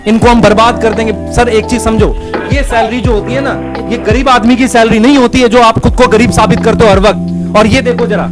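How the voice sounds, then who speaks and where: तीखी ऊँची आवाज़ में भड़का हुआ आदमी. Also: male voice, very high-pitched, fairly even intonation, fairly quick, music in the background. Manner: confrontational, repeats himself insistently. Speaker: teacher who resigned from an ed-tech company. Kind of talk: heated complaint addressed to a former boss.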